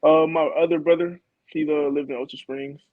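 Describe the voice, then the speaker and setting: A young man from Florida, a college football player, answering interview questions in a relaxed way. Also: on the high side, brisk, no room sound, phone-quality sound. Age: young adult. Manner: relaxed, laid-back, many fillers like "like" and "man".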